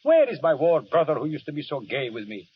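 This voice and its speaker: An actor playing a jaunty, bullying, worldly Italian army captain with an Italian accent in an old-time radio drama, theatrical and teasing. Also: male voice, on the high side, sing-song, a little fast. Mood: teasing, coaxing. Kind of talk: 1930s-40s radio drama acting. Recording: dull, hissy vintage radio broadcast.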